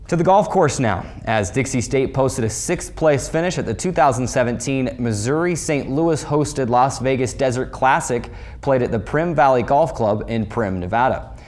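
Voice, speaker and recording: A man's voice: medium pitch, darkish tone. A man hosting a sports show, reading a scripted recap in an announcer's delivery with emphatic openings to each story. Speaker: sports show host and play-by-play voice.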